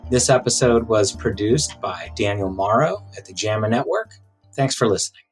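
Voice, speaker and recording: A man's voice: fairly low pitch, lively intonation, normal speed, husky, soft background music, rough recording. A man hosting a podcast, interviewing guests in a conversational way. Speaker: podcast host interviewing doctors.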